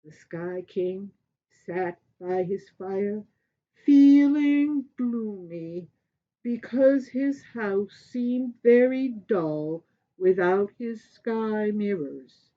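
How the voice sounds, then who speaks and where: A woman storyteller reading a children's tale expressively, with deliberate pauses. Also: mid-range voice, expressive, dull audio.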